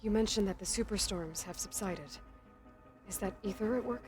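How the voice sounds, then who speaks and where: A woman's voice: mid-range voice, moderate pace, darkish tone, lightly acted. An actress voicing a determined young woman hunter who questions an AI in a thoughtful, dry tone over game music. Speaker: game protagonist.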